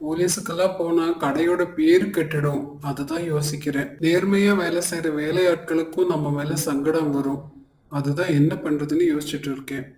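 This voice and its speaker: High-pitched, firm shopkeeper played by man. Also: fairly even intonation, moderate pace, a little mellow, echoey room, lightly acted. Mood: worried. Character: men of a traditional family shop, stern, worried. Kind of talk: cartoon moral story acting.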